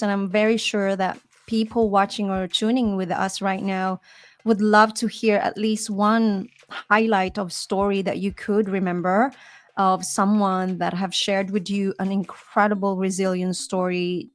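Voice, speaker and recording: Here is a middle-aged woman co-hosting a conversational talk show. Her voice sits at a medium pitch, and she talks in long, flowing, earnest stretches. She fills them with "you know"s and keeps her delivery expressive. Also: unhurried. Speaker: podcast co-host.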